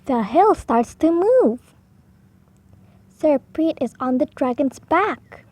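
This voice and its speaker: A woman reading a children's picture book aloud slowly and clearly, with expressive delivery for beginner readers. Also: high-pitched, no echo. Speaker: storybook reader. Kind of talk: slow children's read-aloud.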